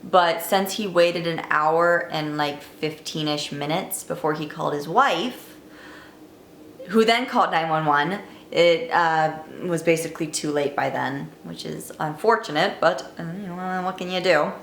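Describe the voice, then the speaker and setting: A woman's voice: sits low, measured pace, creaky, a bit bright, a little hiss or hum. A twenty-year-old woman vlogging, telling her life story to camera in a candid, wry, chatty way. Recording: echoey room, faint ambience.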